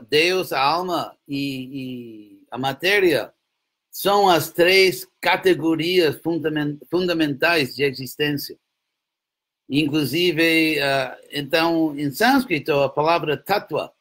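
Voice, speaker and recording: A man giving a spiritual lecture and answering questions, unhurried and explanatory with a gentle humor. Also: on the high side. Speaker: spiritual teacher.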